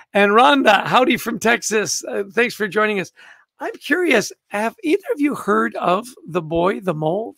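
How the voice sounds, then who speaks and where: Older male host, Canadian-born, high and gravelly, eager casual book-club chat, dry close sound. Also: sharp tone, no echo. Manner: enthusiastic, greets viewers by name.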